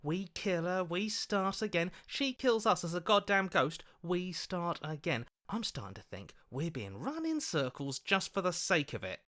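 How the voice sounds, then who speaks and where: An actor playing a cynical, distrustful inner voice in a video game, bitter and sarcastic. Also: male voice, sits high, sing-song, unhurried, few pauses, sharp tone, heavily acted. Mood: bitter, suspicious. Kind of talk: scripted game voice acting.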